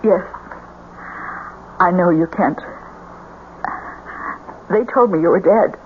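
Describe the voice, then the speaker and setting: An actress playing a frightened, pleading wife in a radio drama, speaking in a halting, anxious way. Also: female voice, lowish voice. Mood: sorrowful, fearful. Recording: muffled, noisy old radio broadcast.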